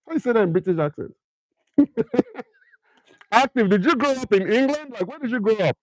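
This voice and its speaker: A man's voice: high-pitched, animated, fairly quick, no room sound, poor audio. A middle-aged man from Nigeria with a Nigerian accent, hosting a casual livestream where he keeps firing questions at viewers and slips into pidgin. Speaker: livestream host.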